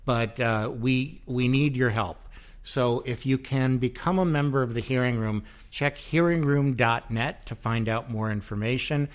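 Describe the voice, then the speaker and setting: A man hosts a podcast in a loose, rambling way, his talk full of fillers and restarts. His voice sits at an ordinary middle pitch for a man. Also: a little slow. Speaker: podcast host. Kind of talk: casual chat, promoting the venue.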